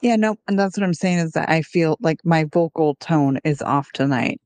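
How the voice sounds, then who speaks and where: A woman with a slightly low voice hosts a podcast in a laid-back manner. She talks casually, and the sound is close and dry, with little room around it. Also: moderate pace, a little mellow, dry recording. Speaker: podcast host. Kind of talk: casual podcast chat with some scripted intro.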